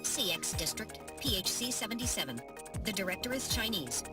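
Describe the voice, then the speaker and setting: Woman voicing a prim, animated little game robot. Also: mid-range voice, fairly quick, distant mic, over loud music, close to an everyday voice. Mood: matter-of-fact. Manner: precise, matter-of-fact, dryly teasing. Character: small prim, literal-minded, sardonic robot sidekick. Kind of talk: video game voice acting.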